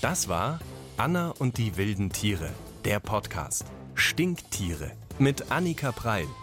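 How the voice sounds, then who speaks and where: A man's voice: lowish voice, sing-song, takes it slowly. A man reading scripted podcast credits as an announcer. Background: music.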